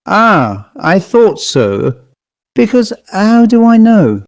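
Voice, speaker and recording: A man voicing a wary, commanding gentleman traveller who speaks concisely and challengingly in an audiobook story. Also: high-pitched, very animated, slow, no echo, pitched above the performer's own voice, heavily acted. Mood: probing.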